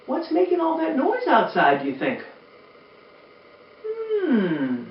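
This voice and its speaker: A man reading a children's story aloud in an animated, exaggerated way, chatting directly to young listeners. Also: very high-pitched, slow pace, slight background noise. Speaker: storyteller, former teacher. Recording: roomy, distant, dull sound.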